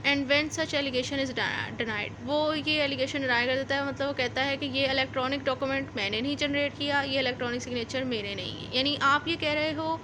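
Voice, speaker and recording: High-pitched Pakistani woman lecturing. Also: noisy background. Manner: reads formal text slowly, then explains informally with repetition. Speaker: law lecturer. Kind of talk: explanatory law lecture.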